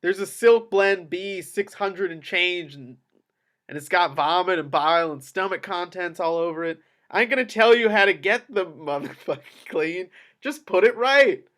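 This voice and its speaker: A man acts out a big, brash movie henchman. He is bossy and slangy, swearing with emphasis, his voice pitched on the higher side for a man. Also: moderate pace, fairly bright tone. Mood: annoyed, bossy. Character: big brash swaggering male henchman, bossy and foul-mouthed.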